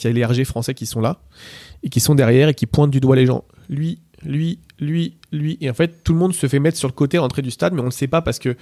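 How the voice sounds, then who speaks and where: Fast-talking man with a gravelly voice. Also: medium pitch, mellow, no room sound, clean studio sound. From Portugal. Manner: rapid anecdotal telling with many fillers and restarts. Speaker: interviewee, PSG ultra supporter. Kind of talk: casual spoken storytelling.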